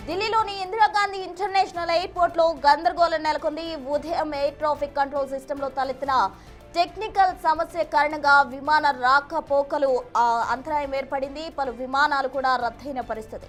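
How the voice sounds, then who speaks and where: A woman presenting breaking news as an anchor, with music behind her. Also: sits high. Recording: music bed under the voice.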